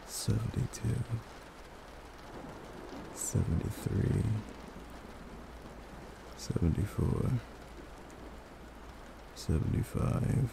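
A man speaking in a hushed, soothing, unhurried ASMR style as a sleep aid. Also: fairly low pitch, gravelly. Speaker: ASMR roleplay performer. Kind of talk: ASMR, soft slow counting as a sleep aid. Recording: steady rain-like noise.

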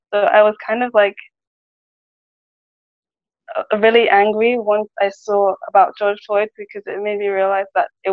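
A woman's voice: medium pitch, no room sound, phone-quality sound. A woman speaking hesitantly, with many likes and ums, as a guest on a video call panel.

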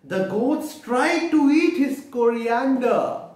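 Echoey Indian-accented man reading to children, swooping pitch. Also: slow. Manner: expressive, repeats and explains for young listeners. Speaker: storyteller reading a picture book aloud. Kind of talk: children's read-aloud with explanations and questions. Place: small room indoors, seated at a table. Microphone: camera mic from a few feet away. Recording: echoey room, distant microphone.

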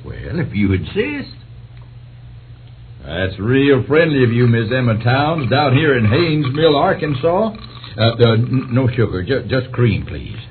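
An actor playing a genial, folksy radio broadcaster in an old radio drama, showy and unctuous. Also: male voice, mid-range voice, sing-song, a little slow. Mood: gracious. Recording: muffled, noisy old radio recording.

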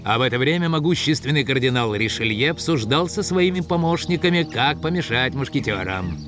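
A man giving measured, scripted narration for a dubbed cartoon episode. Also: mid-range voice, animated, fairly bright tone, soft background music.

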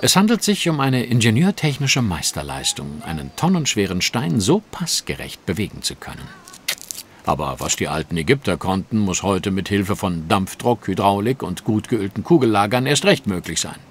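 An actor playing a confident, sardonic gentleman detective who instructs and teases dryly in an audio drama. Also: fairly low pitch, sing-song, moderate pace, slight background noise. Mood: composed, instructive. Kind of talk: German audio drama acting.

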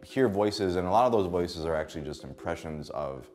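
A young man who works as a voice actor, talking to camera about his craft in a relaxed, animated way and breaking into voices mid-sentence. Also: deep voice, a little fast, faint music behind. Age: young adult. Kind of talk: explaining voice acting to camera. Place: indoor studio with plain backdrop.

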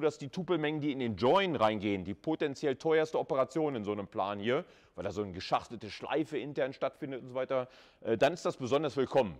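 Male lecturer, mid-pitched, conversational and informal, talking students through material with rhetorical questions. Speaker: university lecturer. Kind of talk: live lecture explanation, addressing students. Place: classroom with chalkboard.

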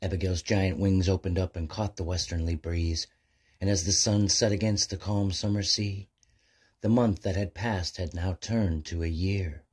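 Deep husky guy reading a rhyming bedtime story for kids. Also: steady tone, moderate pace.

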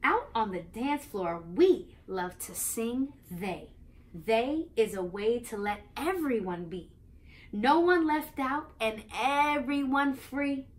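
A young woman with an American accent hosts a storybook read-aloud for little kids. Her voice sits on the high side, and she speaks expressively and playfully, moving between teacherly explanation and sing-song reading. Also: animated, slow pace. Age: young adult. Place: small living room, indoors on a couch.

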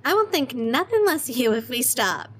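A woman's voice: sits high, very animated, normal speed, fairly bright tone, slight background noise. An actress playing a young woman in a horror audio drama, a game but uneasy best friend with a casual, wry way of talking. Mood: nonchalant.

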